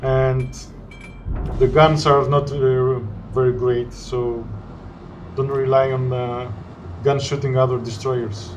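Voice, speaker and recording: A man with a Greek accent, a non-native English speaker, narrating his gameplay in halting sentences full of "uh"s. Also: medium pitch, little pitch movement, highs cut off, a little hiss or hum. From Greece. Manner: halting, searching for words with frequent "uh". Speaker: gameplay commentator. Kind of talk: gameplay commentary.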